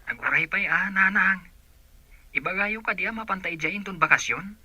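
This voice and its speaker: Casting: eager Ilocano son, radio drama, very sharp forceful voice, highish, dry recording. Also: male voice, normal speed, no room sound, full character voice. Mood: questioning. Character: eager grown son confiding in his mother.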